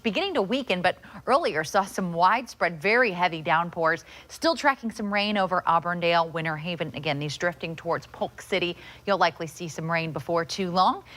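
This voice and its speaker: A woman's voice: lowish voice, fairly bright tone. An American woman giving a live television weather forecast as a meteorologist.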